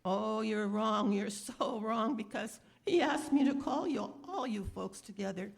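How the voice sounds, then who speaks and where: A woman playing a stubborn, streetwise apple seller in a 1930s radio drama, with folksy, emphatic speech and a gravelly, husky voice. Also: medium pitch, measured pace, heavily acted. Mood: earnest, hopeful. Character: feisty streetwise apple-selling beggar woman, stubborn, rallying.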